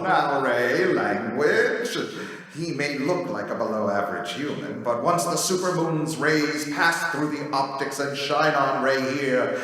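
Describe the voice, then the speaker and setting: An actor playing a grandiose, menacing reptilian leader in an audio drama, declaiming theatrically. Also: male voice, on the high side, a bit bright. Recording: echoey hall, distant, music behind.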